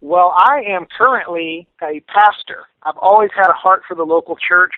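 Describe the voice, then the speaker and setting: A man's voice: sits high, moderate pace, dry recording. Man on a tinny phone line. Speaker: pastor and worship leader, interview guest. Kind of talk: reflective interview answer.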